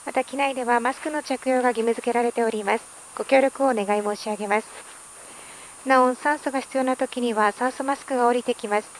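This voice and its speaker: A woman's voice: fairly high pitch, steady tone, slight background noise, rough recording. A woman cabin crew member making a polite, formal inflight announcement over the cabin PA handset. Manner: polite, formal rapid delivery. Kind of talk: inflight public address announcement. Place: aircraft cabin.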